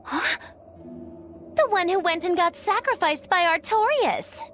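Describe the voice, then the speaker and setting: Casting: small teasing game sidekick, extremely high girlish voice, theatrical swings, pointed questions. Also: very animated, a little slow, a bit bright, faint music behind, exaggerated voice. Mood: teasing, probing. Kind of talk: English video game dub acting.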